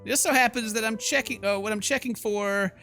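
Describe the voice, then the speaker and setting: Man, game commentary, reads story aloud, jokey, bro-ish, sharp bright tone, higher pitch, quiet background music. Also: fairly quick. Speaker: let's player.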